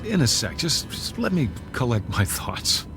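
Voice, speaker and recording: An actor playing an anxious, haunted married airline passenger in a radio drama, speaking in a hesitant, uneasy way. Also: male voice, mid-range voice, sing-song, measured pace, creaky, over music. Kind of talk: vintage-style radio drama acting.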